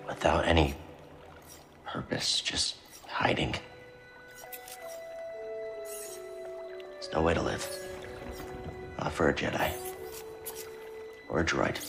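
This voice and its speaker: An actor playing a guarded, earnest young Jedi fugitive in a video game, understated and sincere. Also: male voice, very deep voice, steady tone, a little slow, creaky, long pauses, over music, exaggerated voice. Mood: wistful, subdued. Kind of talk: naturalistic video game acting.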